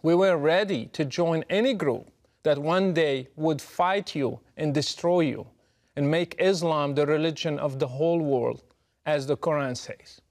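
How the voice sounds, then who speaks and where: A middle-aged man from Morocco, giving a prepared, deliberate and emphatic address straight to the listener. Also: on the high side, a little mellow. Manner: measured, emphatic, deliberate delivery. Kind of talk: prepared direct address to camera.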